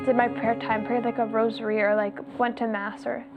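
A woman with a somewhat high, slightly hoarse voice leads a relaxed faith conversation. She rambles in a chatty way, stacking "like" through her sentences. Plucked guitar music plays clearly under her voice. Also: fairly quick, narrow, tinny audio. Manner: chatty, fills speech with "like". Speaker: host. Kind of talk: casual faith discussion.

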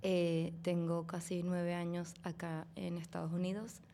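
A woman's voice: lowish voice, little pitch movement, measured pace, dark tone, slight background noise. A woman, a guest, telling her own faith story in a hesitant, casual way with many fillers. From Venezuela. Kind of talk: casual personal testimony.

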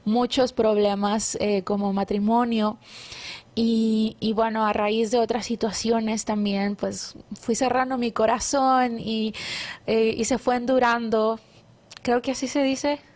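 A woman's voice: fairly high pitch, steady tone, measured pace. Guatemalan woman sharing faith testimony. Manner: warm devout storytelling with many fillers and restarts. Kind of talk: casual testimony interview.

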